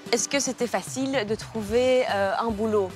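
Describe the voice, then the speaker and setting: A woman's voice: fairly high pitch, moderate pace. A woman hosting an interview, asking friendly, upbeat questions. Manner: cheerful, laughing. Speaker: interviewer, host. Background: soft music.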